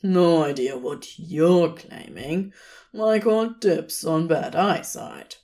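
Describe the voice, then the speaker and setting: A woman voicing a teasing, gruffly affectionate male demon with a sardonic, firm drawl. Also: on the low side, slow, mellow. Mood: mock defensive.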